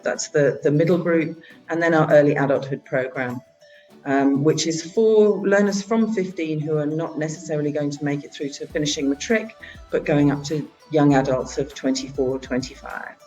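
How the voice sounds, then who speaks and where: A woman who heads a charity, presenting at a virtual annual meeting in a halting way, with frequent filler sounds. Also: deep voice, steady tone, a little mellow, muffled recording, soft background music. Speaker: head of a charity organisation.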